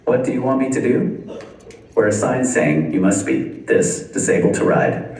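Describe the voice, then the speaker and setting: A young Canadian man performing a stand-up comedy set, delivering dry one-liners deadpan at a live venue. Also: mid-range voice, moderate pace. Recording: echoey venue from far off.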